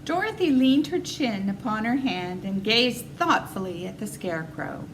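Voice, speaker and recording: Female storybook reader: animated intonation, clear forward tone, some light background noise. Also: mid-range voice, normal speed. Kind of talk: expressive children's story narration.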